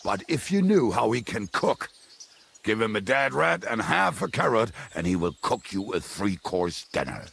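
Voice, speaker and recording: A man playing a roguish, foul-mouthed medieval mercenary in a video game, with a blunt, wry way of telling things and a gravelly, husky voice. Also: fairly low pitch, sing-song, normal speed, a bit bright, full character voice. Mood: jovial, candid. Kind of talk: dramatic video game dialogue.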